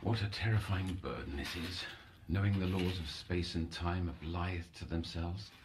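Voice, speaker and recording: An actor playing a brooding, obsessive psychiatric counsellor in an audio horror drama, theatrical and ornate, slipping into whispers and eerily tender. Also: male voice, low voice, steady tone, moderate pace, background noise, lightly acted.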